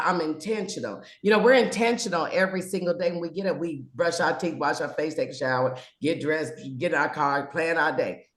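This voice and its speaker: Here is a woman in her sixties hosting a video call. She sounds exuberant and sermon-like, laughing as she goes and swinging her pitch high and low. Her voice carries a roomy echo. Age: 60s. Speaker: network host. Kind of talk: casual video-call panel chat.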